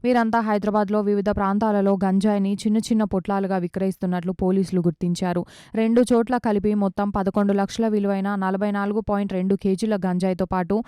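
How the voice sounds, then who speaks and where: A woman news reader delivers scripted reports in a smooth, clean voice. Her tone is soft and mellow at a middle pitch, and the sound is dry and close, without room echo. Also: flat delivery, fast.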